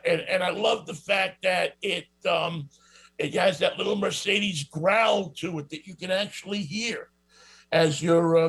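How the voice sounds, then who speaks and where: Man, New York accent, middle-aged, radio host, rambling car review, fairly high pitch. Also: gravelly, fairly bright tone, slightly rough. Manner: rambling, many fillers and restarts. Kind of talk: casual spoken car review.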